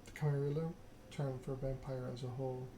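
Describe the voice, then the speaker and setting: Soft-spoken man with little pitch movement, noisy background. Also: flat delivery, a little fast. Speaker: game streamer, host. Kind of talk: soft-spoken ASMR reading and stream chat.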